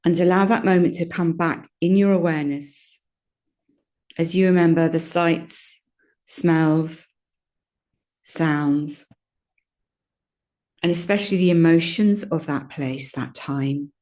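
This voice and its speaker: A woman leading a guided meditation in a soothing, gentle manner, giving unhurried instructions with pauses. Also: sits low. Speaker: yoga nidra meditation guide.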